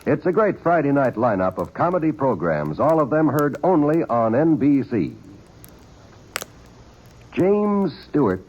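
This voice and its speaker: A man's voice: medium pitch, big pitch swings, measured pace. A man announcing network programs in a formal 1950s radio announcer style. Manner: formal, sweeping announcer delivery. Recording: dull lo-fi vintage radio broadcast with loud music.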